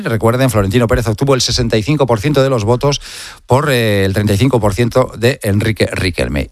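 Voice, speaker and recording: A man's voice: mid-range voice, dry recording, clean studio sound. A man with a Spain Spanish accent presenting sports news as a radio host, emphatic and conversational, with rhetorical questions and asides.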